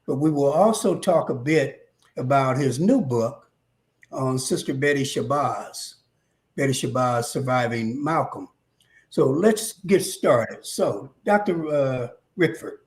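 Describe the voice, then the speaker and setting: A man hosting an interview, asking measured, formal questions. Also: medium pitch, expressive. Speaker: interview host.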